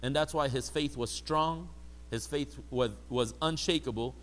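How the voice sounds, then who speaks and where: A man teaching a religious lecture to a class, animated, asking the audience questions and acting out the people he quotes. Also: mid-range voice, a little slow. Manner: animated, acts out quoted people in raised mocking voices; asks the audience rhetorical questions.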